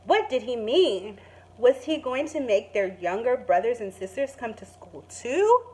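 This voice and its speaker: A woman teacher reading a story aloud to young children in an expressive, dramatic and emphatic way. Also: fairly high pitch, unhurried.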